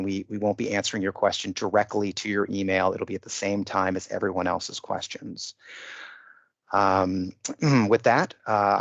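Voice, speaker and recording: A man's voice: on the low side, gravelly, no room sound. A man methodically giving a formal procedural briefing as an official on a conference call, stressing the rules. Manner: methodical, emphatic about rules. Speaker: procurement official leading a bid conference call. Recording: muffled, dull conference-call audio.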